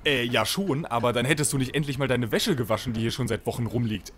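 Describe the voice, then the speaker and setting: A man's voice: medium pitch, fast, fairly bright tone, a little hiss or hum, close to an everyday voice. A man playing a mock-solemn, flippant narrator who bickers in a parody radio play. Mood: flippant, bickering.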